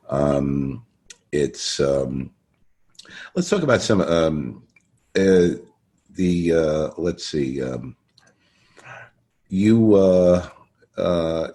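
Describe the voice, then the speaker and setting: Very deep, mellow man interviewing haltingly. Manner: halting, repeats phrases while searching for words. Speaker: podcast interviewer. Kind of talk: casual interview.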